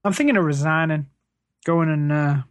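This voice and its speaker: A performer playing a whiny, earnest working-class space plumber in an improvised mock interview, rambling in a plaintive deadpan. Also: medium pitch, moderate pace, no echo, clean studio sound, lightly acted. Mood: resigned.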